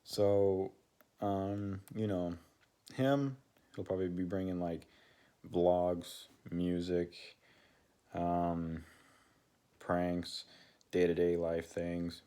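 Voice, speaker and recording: A young man with a deep voice, talking casually to his channel's viewers and rambling with many fillers and restarts. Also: mellow. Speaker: channel co-host. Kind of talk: casual talk to camera.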